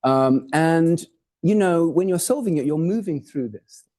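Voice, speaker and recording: A man lectures to a hall audience in a very dark, mellow voice. His delivery is loose and conversational, full of asides, jokes and the occasional curse. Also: a little high, expressive, unhurried. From born in Hawaii, raised in Portugal and England. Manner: informal, digressive, jokey, swears for effect. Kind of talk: academic talk with slides.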